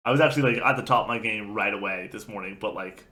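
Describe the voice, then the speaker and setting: A man's voice: on the low side, quick pace, sharp tone. Rambling young guy telling a story. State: recovering from being sick. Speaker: podcast co-host. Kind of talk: casual gaming chat.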